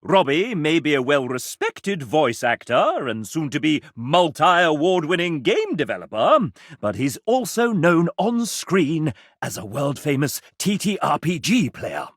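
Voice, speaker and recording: Sassy, wildly animated gentleman host. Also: on the high side, unhurried, no echo, clean studio sound, exaggerated voice. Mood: wry narration. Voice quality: animated theatrical delivery. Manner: pompous, showy, sardonic. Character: sassy, flamboyant gentleman host, pompous and playful. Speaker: game show style host and narrator. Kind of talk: theatrical video game narration.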